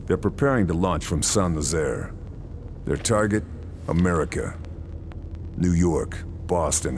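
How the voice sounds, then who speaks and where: An actor playing a resolute wartime sniper operative, narrating in a terse, grave mission-briefing style for a video game. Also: male voice, low-pitched, darkish tone, slight background noise. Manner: terse, grave, deliberate phrasing.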